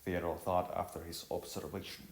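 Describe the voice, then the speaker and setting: A man's voice: low-pitched, level tone, normal speed, gravelly. A man narrating a story as an amateur reader, stumbling over phrases now and then and rereading them. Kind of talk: amateur narration of an audiovisual novel. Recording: roomy echo, faint music behind.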